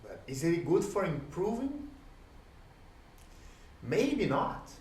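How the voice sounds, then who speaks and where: A man whose first language is Portuguese, musing aloud as host in a reflective solo talk, pausing often and posing questions to the listener. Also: high-pitched, wildly swooping pitch, darkish tone. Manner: thoughtful, pauses often, rhetorical questions. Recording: roomy, distant from the mic, faint ambience.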